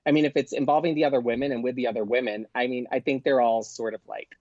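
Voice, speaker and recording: A man's voice: medium pitch, steady tone, fast, darkish tone, dry recording, muffled recording. A man chatting casually as a podcast guest, conversational and a little tentative in how he puts things. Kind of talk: casual chat.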